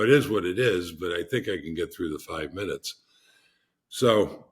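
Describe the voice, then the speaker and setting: A man with a very low, deep voice and a husky grain to it. He talks as a podcast guest, spinning long anecdotes at an easy pace and often starting a sentence twice before he finishes it. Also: a bit bright. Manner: rambling anecdotal storyteller with frequent restarts. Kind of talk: casual interview storytelling.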